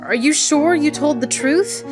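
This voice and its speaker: A woman playing a slow, measured, lovelorn soldier-poet. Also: sits high. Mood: wistful, urgent. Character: Cyrano, brave self-denying soldier-poet, secretly in love. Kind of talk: radio theater acting. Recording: music under the dialogue.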